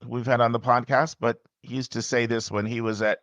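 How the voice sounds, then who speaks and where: A man hosting a podcast in a loud, emphatic, conversational way, often turning aside to check in with the listener. His voice sits in an ordinary middle register. The recording is close and dry, with no room sound. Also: medium pitch, little pitch movement, fairly quick, no echo, lo-fi sound. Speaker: podcast host and college admissions advisor. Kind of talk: casual podcast monologue.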